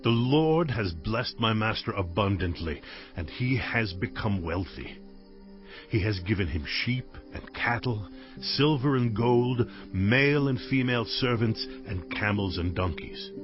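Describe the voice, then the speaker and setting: An actor playing a devout, earnest male servant on a mission in a dramatized audio Bible, speaking in a solemn, measured way. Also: on the low side, lively intonation. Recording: dull lo-fi audio with music behind.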